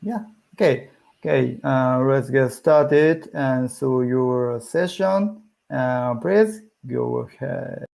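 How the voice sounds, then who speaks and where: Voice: mid-range voice, slow pace, creaky, a little mellow. A conference host speaking hesitantly in short phrases while informally introducing a session online.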